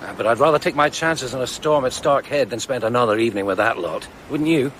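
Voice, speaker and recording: Actor as an earnest ex-serviceman, medium pitch. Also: fast, a bit bright, a little hiss or hum, lightly acted. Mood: probing, wry. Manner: courteous, earnest, probing. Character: earnest, persistent ex-serviceman turned amateur sleuth. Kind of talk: British radio drama acting.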